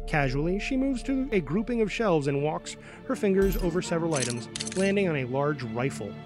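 A man narrates stage directions from a script. His voice sits a little high for a man, with faint music underneath. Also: lively intonation, brisk.

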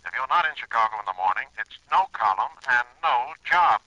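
Sharp, brusque boss on the telephone. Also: male voice, mid-range voice, lively intonation, fairly quick, dry recording. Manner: curt, commanding. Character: brusque, hard-nosed newspaper boss on the telephone. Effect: telephone filter. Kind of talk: 1940s radio drama acting. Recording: thin filtered phone line, dry.

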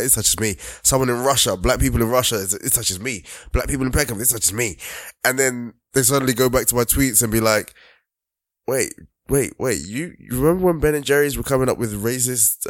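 A man's voice: mid-range voice, fairly bright tone, dry recording, clean studio sound. A man co-hosting a casual podcast chat, explaining his point at length and acting out other people's voices as he goes.

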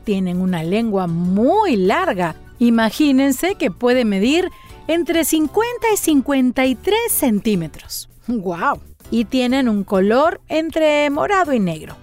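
A woman who hosts a children's storytelling show, chatting playfully and reading out messages from young listeners. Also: fairly high pitch. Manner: warm, playful and animated, reading out fan messages. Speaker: children's storyteller and channel host. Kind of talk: friendly chat to young listeners.

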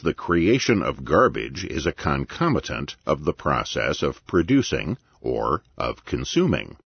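A man reading a nonfiction essay as an audiobook narrator, with measured, deliberate and emphatic phrasing and a gravelly, husky voice. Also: extremely low pitch, dry recording, muffled recording.

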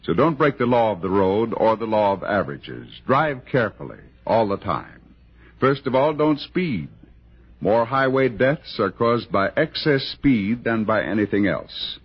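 Male American announcer for road safety spot. Low, rough-edged voice, emphatic scripted read, vintage dull radio sound. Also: sing-song, a little slow. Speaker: public service announcer. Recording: dull old radio broadcast recording.

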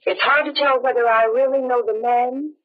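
An actress playing a composed, mysterious young woman, calm and earnest, in an old radio drama. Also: fairly high pitch, normal speed, natural voice. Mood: calm, wistful. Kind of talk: 1930s radio drama acting. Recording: tinny old radio recording.

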